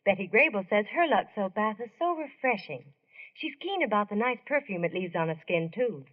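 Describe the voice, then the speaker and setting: Female spokeswoman, vintage radio commercial, chirpy and polished, very lively intonation, narrow muffled sound. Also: medium pitch, brisk. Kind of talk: 1940s scripted radio ad dialogue. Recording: muffled old radio recording.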